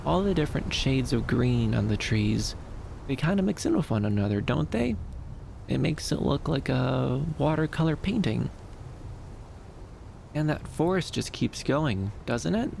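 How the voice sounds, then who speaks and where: Gentle, shy, gravelly-edged young dream wanderer, played by a man. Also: medium pitch, big pitch swings, unhurried, a little hiss or hum, heavily acted. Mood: dreamy, soothing. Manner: soft-spoken, hesitant, soothing, with lilting upturns. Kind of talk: ASMR roleplay.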